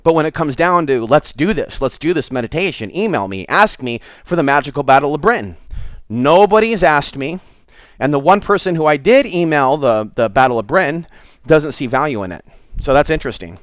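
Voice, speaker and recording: A man's voice: fairly high pitch, expressive, a little fast, no echo. A middle-aged American man giving an unscripted, rambling monologue to his viewers, candid and confiding as he wanders between thoughts. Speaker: host of his own online show.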